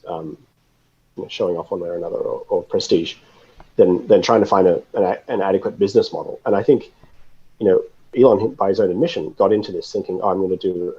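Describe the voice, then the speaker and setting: A man being interviewed, speaking casually and haltingly as he thinks aloud, with wry, informal asides. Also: sits low, dark tone, husky, muffled recording. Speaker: interview guest, software systems architect.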